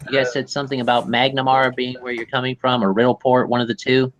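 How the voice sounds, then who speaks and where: A man's voice: mid-range voice, little pitch movement, a bit bright, muffled recording. A man acting as game master, talking casually and correcting himself as he goes. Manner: casual, halting, self-correcting. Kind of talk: running a tabletop game session.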